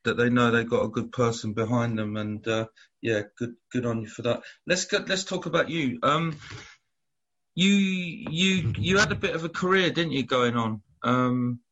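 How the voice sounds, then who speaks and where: A man asks questions in a halting way, stumbling over repeated words and ums. His voice is mid-pitched and ordinary. The recording sounds a little dull and lo-fi. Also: a bit bright. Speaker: interviewer. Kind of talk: casual interview chat.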